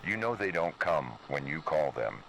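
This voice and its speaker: A man's voice: rumbling low, animated, normal speed, a bit bright, low-quality recording. A man narrating a scripted first-person horror story. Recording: clear background noise.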